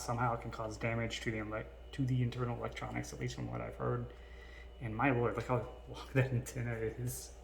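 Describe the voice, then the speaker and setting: A man hosts an unscripted electronics demonstration in an informal, chatty way. His voice sits at an ordinary middle pitch. The recording carries faint noise and small clicks. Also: vocal fry, reverberant. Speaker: video host reviewing vintage electronics. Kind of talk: casual unscripted demonstration to viewers.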